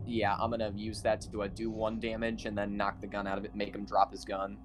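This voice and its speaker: A man's voice: on the low side, completely flat delivery, fairly quick, a little hiss or hum. A man playing a tabletop game, chatting casually and hesitantly as he thinks his moves through aloud.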